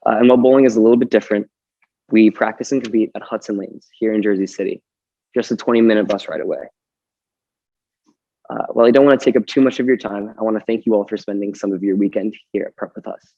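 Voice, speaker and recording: A young man in his late teens with a slightly raspy voice gives a formal, polite welcome speech as a student team captain in a school gym. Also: fairly low pitch, steady tone, fast talker, dark tone, no echo, dull audio.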